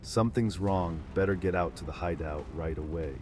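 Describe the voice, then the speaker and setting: Scheming, stubborn mob boss, male actor, very low register, comic book dub with music underneath. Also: moderate pace, a little mellow, lightly acted. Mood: alarmed.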